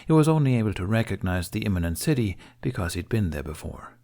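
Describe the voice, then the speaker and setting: A man's voice: lowish voice, big pitch swings, fast, warm tone. A man reading a scripted story aloud as an audiobook narrator. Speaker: audiobook reader. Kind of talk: scripted narration of fan fiction.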